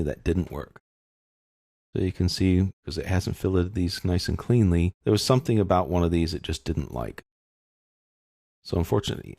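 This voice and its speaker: A man narrating a casual software tutorial as an instructor, informally thinking aloud through each step. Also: sits low, vocal fry, no room sound, clean studio sound.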